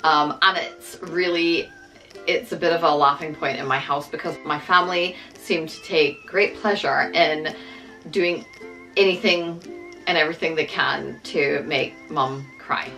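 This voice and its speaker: A woman's voice: low-pitched, measured pace, pushed and bright, faint music behind, low-quality recording. A middle-aged woman, originally from the United States, with an American accent touched by Scottish, chatting to camera in a self-deprecating way, with a lot of ums. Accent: American, with some Scottish. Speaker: vlogger. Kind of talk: casual talk to camera.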